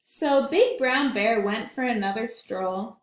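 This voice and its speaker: A woman teacher reads a picture book aloud to young children in a gentle, questioning way. Her voice is high and she takes her time. The room sounds echoey. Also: slow. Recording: roomy echo.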